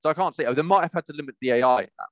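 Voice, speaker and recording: A man's voice: on the high side, very fast, dry recording. British man, rambling, in dull lo-fi audio. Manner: rambling, self-correcting. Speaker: podcast co-host. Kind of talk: casual gaming podcast chat.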